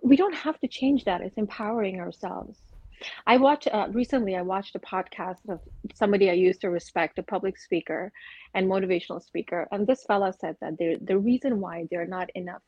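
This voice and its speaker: A woman from Afghanistan speaking with earnest conviction on a casual podcast, her voice husky and a little breathy. The sound is dry and slightly muffled, with little room around it. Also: mid-range voice, brisk, dark tone, no echo. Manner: earnest, opinionated, spiritual talk. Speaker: podcast co-host.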